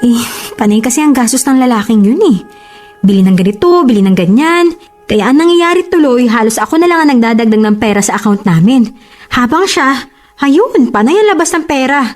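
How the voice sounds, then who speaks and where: A woman's voice: on the high side, expressive, brisk, natural voice. A woman playing a devoted, hurt bride-to-be in a relationship drama, speaking emotively with a Filipino accent. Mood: exasperated. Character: long-suffering, devoted bride-to-be, hurt and defensive.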